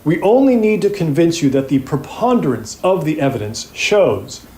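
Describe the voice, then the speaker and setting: A man acting as a grave plaintiffs' lawyer, giving a measured, formal opening statement to a jury in an audio drama. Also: fairly high pitch, big pitch swings, dark tone. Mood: solemn, insistent. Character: sombre male plaintiffs' trial lawyer addressing a jury. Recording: echoey room.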